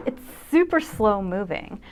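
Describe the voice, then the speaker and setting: A woman in her fifties narrating her own video in a reflective, wry, self-deprecating way with expressive emphasis. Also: mid-range voice, a little slow. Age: 50s. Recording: music under the voice. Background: music.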